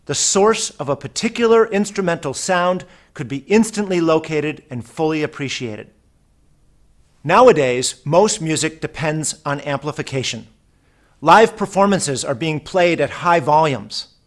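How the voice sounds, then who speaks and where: A man reading a scripted explanatory narration for a product video in a measured, articulate, instructional way. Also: a little high.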